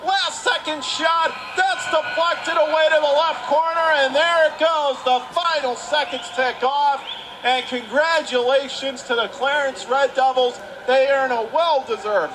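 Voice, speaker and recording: A man calling live radio play-by-play of an ice hockey game from the arena. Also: very high voice. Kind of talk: live radio sports commentary. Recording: clear arena noise behind the voice.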